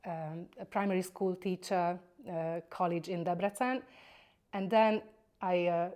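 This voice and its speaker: A woman in her forties from Hungary with a Hungarian accent, a singing teacher introducing herself in a measured, slightly hesitant way. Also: on the low side, fairly even intonation, darkish tone. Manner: measured, plain and a little hesitant. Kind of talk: personal introduction.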